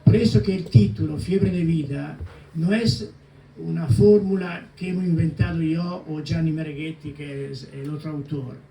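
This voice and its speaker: A man in his sixties speaking with an Italian accent, presenting a book he co-wrote, halting, full of fillers and restarts, slipping into Italian words. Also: a little high, steady tone, mellow. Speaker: co-author guest. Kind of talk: public book presentation talk. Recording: echoey hall, mic at a distance.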